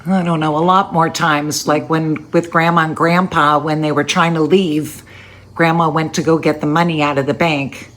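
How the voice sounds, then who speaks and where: A woman's voice: sits low, little pitch movement, moderate pace, slight background noise. A woman helping her elderly mother in an interview, relaying questions and prompting her memories. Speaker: interviewee's daughter. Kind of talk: casual family conversation.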